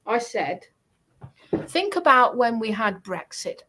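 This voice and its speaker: A woman's voice: on the high side, very animated, slow, pushed and bright. A British woman chatting casually about politics, making her points emphatically. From United Kingdom.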